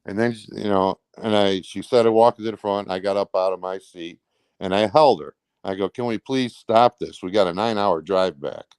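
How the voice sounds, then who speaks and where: A man with a very low voice and a clear, smooth tone speaks casually as an interview guest. He recounts things in a blunt, rambling, everyday way, sometimes coarse in his wording. The sound is close and dry, with very little room in it. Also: normal speed, darkish tone, no room sound. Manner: rambling, blunt, colloquial with swearing. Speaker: truck driver. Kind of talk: casual recounting of personal events.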